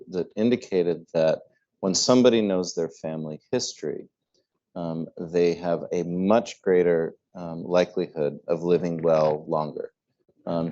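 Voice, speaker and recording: A man's voice: deep voice, dark tone, muffled recording. A man moderating a panel discussion, speaking thoughtfully with small stammered restarts.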